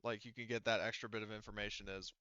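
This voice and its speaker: A man's voice: lowish voice, little pitch movement, fast talker, bright, forceful tone, clear, resonant tone, no room sound, dull audio. A man casually game-mastering a tabletop roleplaying game, improvising hesitantly and thinking aloud.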